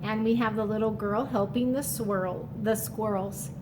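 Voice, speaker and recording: A woman reading a picture book aloud to young children, pausing to explain it simply and speaking to the listener in an encouraging way. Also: medium pitch, fairly even intonation, noisy background. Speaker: storytime reader for children.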